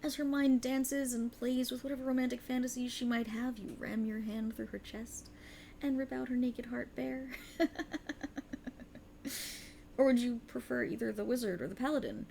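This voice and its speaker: A woman acts out a cruel, seductive otherworldly goddess in an ASMR roleplay. Her voice is fairly high with a heavy creak. She speaks with haughty, theatrical condescension, taunting her mortal servant over faint hiss. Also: steady tone, normal speed, mellow. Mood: insinuating. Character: cruel, haughty otherworldly warlock patron, a seductive dark deity. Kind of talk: ASMR roleplay, scripted dramatic monologue.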